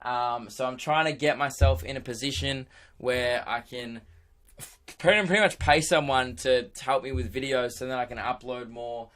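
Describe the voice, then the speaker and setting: A young man with a hoarse, worn-out voice, rambling excitedly on his own podcast, laughing and swearing as he goes. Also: medium pitch, normal speed. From Australia. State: hoarse, lost voice. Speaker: podcast host and radio presenter. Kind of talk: casual solo podcast chat.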